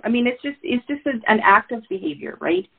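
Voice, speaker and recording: A woman's voice: fairly high pitch, expressive, a little fast, gravelly, slightly rough. A woman hosting an interview who agrees readily and keeps the chat casual. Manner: warmly agreeable, affirming. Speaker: podcast host. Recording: tinny phone-like sound.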